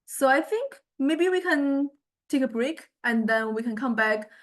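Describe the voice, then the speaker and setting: A woman lecturer giving an informal software demonstration, hesitantly thinking aloud as she goes. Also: high-pitched, lively intonation, unhurried. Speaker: music technology lecturer.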